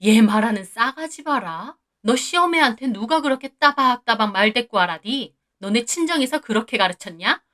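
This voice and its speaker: A woman's voice: on the high side, moderate pace, lightly acted. A woman voicing a furious older mother-in-law who berates and insults her daughter-in-law in a read-aloud story. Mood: scolding. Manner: shouting, berating, coarse insults.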